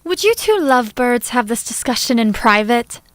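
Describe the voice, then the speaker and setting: A woman's voice: a little high, sing-song, moderate pace, fairly bright tone, no room sound. A woman playing a bossy but caring household member in a theatrical audio drama. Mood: exasperated.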